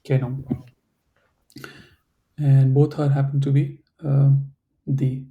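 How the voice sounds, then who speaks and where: A man's voice: medium pitch, level tone, slow pace, lo-fi sound. A man teaching a German class over a video call. He explains patiently and fills his talk with "you know" and "right", and English is not his first language. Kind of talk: online class instruction.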